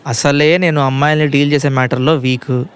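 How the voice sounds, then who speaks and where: A man's voice: fairly high pitch, fairly even intonation, brisk, smooth, lightly acted. A man acting as a frustrated, lovesick young college student in a comedy audio drama, grumbling in colloquial banter over music. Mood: unsure. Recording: music behind the voice.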